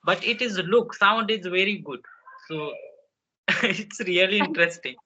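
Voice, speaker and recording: A man leading a casual conversation, speaking haltingly and restarting his sentences. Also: high voice, pushed and bright. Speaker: English conversation partner. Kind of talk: casual English practice conversation. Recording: dull lo-fi call audio.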